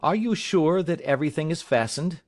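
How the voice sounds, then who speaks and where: A man reading aloud, voicing a gentle young woman who sounds a little worried. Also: a little high, expressive, normal speed, close to an everyday voice. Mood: concerned. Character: anxious young woman, dutiful daughter.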